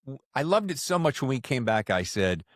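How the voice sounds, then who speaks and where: A man's voice: medium pitch, big pitch swings, a little fast, no room sound, clean studio sound. An American man hosting a podcast, talking in an effusive, jokey way. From United States. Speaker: podcast host. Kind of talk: scripted intro and casual interview chat.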